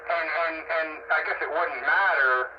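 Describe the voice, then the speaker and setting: A man's voice: on the high side, measured pace. Man on a dull, very noisy radio link. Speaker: radio hobbyist asking for advice. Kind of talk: casual technical chat over radio.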